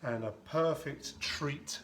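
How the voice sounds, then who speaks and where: A man's voice: medium pitch, slow pace, a bit bright. A man casually explaining how he makes a cocktail at home, chatting as he mixes, with rambling asides and dry humor. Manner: narrates while mixing and shaking drinks. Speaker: home bartender making a cocktail.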